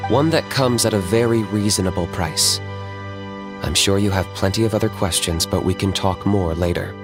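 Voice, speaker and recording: A man's voice: deep voice, moderate pace. A man voicing a cool, laconic young male hunter who speaks tersely and dryly in a game. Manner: terse, dry, calmly businesslike. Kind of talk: game voice acting. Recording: game music behind.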